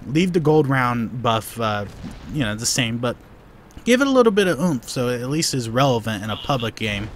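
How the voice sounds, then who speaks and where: A man's voice: mid-range voice, big pitch swings, normal speed, slight background noise. A young man, Korean by birth, hosting a live gameplay Q&A and answering viewer questions in a rambling way, with lots of restarts. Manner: rambling, many "you know"s and restarts. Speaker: gaming channel host.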